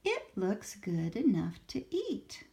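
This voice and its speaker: A woman's voice: mid-range voice, wildly swooping pitch, takes it slowly, gravelly, dark tone, pitched above the performer's own voice, exaggerated voice. Kindly storybook teacher, encouraging and sing-song, played by a woman. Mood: gentle. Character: cheerful, patient female schoolteacher. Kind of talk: storybook read-aloud.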